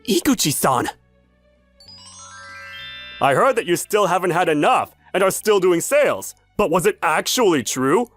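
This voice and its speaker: A man's voice: high-pitched, big pitch swings, a little slow, long pauses, fairly bright tone, faint music behind, heavily acted. Man putting on a sneering, hot-tempered rival salesman. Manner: sneering, accusatory. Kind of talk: manga dub dialogue.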